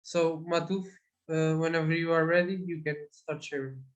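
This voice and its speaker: A man's voice: a little high, fairly even intonation, normal speed, muffled recording. A man moderating an online event, polite and somewhat hesitant. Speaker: webinar moderator.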